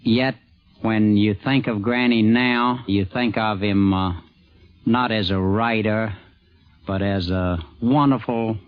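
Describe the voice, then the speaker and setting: A middle-aged man giving an earnest, hesitant, measured tribute in a 1950s radio interview. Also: fairly low pitch, steady tone, phone-quality sound, soft background music. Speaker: interviewee, newspaper sports editor. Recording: 1950s radio recording, dulled sound.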